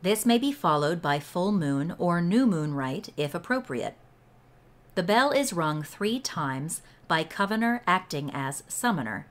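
Slow, low-pitched woman reading aloud. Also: big pitch swings. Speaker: read-along host and reader. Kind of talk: slow scripted read-aloud of a ritual book.

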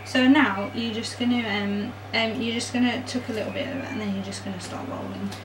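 A woman's voice: medium pitch, fairly even intonation, a little fast. A young adult woman giving a casual, chatty baking demonstration in an informal, self-deprecating way, recorded indoors on a camera mic. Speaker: home baking vlogger. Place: small home kitchen, indoors. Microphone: camera mic a metre or two away. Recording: roomy, distant mic, noisy background.

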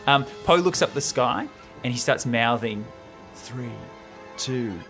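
A man's voice: medium pitch, lively intonation, slow pace, a bit bright, soft background music. A young man hosting a podcast, retelling a film script casually and with slangy enthusiasm, close to the microphone. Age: young adult. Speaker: podcast host. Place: small room indoors.

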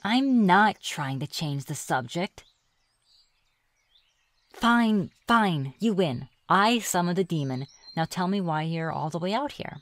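Woman voicing a mischievous witch, ASMR fantasy roleplay, mocking and flirtatious, lowish pitch, dry close sound. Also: lively intonation, no echo. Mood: coy, teasing. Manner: playful, mocking, drawn-out taunting. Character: reclusive, teasing, flirtatious witch of the deep woods.

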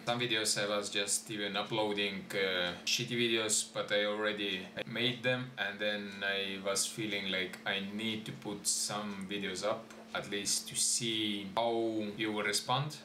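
A man's voice: fairly low pitch, pushed and bright, roomy sound, slight background noise. A young man whose first language is Estonian, talking unscripted to camera at home, hesitant with many fillers and restarts. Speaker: YouTuber. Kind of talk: unscripted vlog talk to camera. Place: small room at home, indoors.

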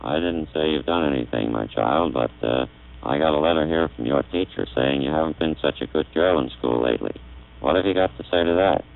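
A man's voice: rumbling low, brisk, creaky, voice pushed down. A man speaking through a vocoder, playing a stern father scolding his child, in a tinny old recording with hum. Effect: vocoder synthesized voice.

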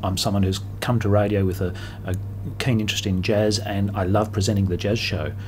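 A man in his forties with a fairly low voice speaks reflectively as an interviewee. A faint hum runs behind him in a small room. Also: steady tone, a little fast, a little mellow. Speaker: jazz radio presenter. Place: small indoor radio station room.

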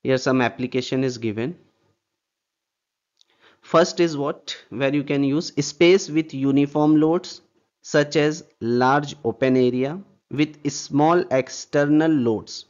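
A man's voice: a little high, takes it slowly, darkish tone, dull audio. A man lecturing on air distribution systems over slides, repeating points and checking in with "okay" and rhetorical questions. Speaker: HVAC instructor. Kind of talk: slide-based technical lecture.

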